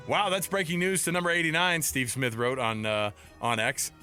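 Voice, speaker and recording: A man's voice: on the high side, fairly even intonation, unhurried, pushed and bright, faint music behind. An American man co-hosting a sports radio show, chatting casually and jokingly in colloquial style from a studio.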